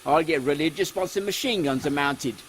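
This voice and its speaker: A man voicing a gruff, sardonic British working-class engineer, blunt and deadpan, in a steampunk audio comedy. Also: fairly high pitch, a little fast, slight background noise, voice pushed up, natural voice. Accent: British English.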